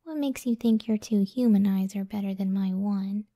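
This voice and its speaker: A woman voicing a gentle, self-doubting giant cyclops wife in a soft, breathy ASMR roleplay, speaking tenderly and often near a whisper. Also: medium pitch, dry recording, clean studio sound, close to an everyday voice. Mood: gently teasing. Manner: slow, tender, unhurried.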